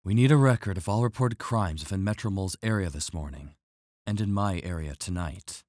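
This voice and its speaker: Audio drama superhero, played by a man: very low-voiced, authoritative, polite and serious male lead, dry recording. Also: a little fast, darkish tone, no room sound, lightly acted. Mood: courteous, decisive. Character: grave, courteous male superhero, steady and authoritative.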